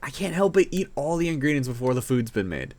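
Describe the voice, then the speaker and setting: A man's voice: mid-range voice, very animated, fast, darkish tone. A man playing an affectionate, playful and protective boyfriend in an intimate roleplay audio drama, talking in a tender, teasing, slightly stammering way. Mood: teasing, cheerful.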